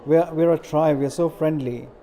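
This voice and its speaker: Earnest middle-aged man, mellow voice. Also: a little high, steady tone. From India. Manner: earnest, measured with frequent fillers. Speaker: interviewee, community organizer. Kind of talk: interview answers.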